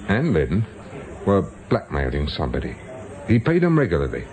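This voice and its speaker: An actor playing a stern former policeman in a radio drama, with a British accent and an insistent, deliberate way of questioning. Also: fairly low pitch, a little mellow. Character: probing male former policeman turned investigator, stern and persistent.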